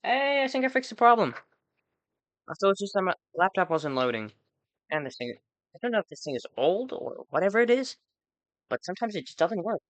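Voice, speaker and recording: Higher-pitched male game streamer. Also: big pitch swings, a little fast, pauses often, a bit bright, no room sound. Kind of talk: casual live gameplay reaction.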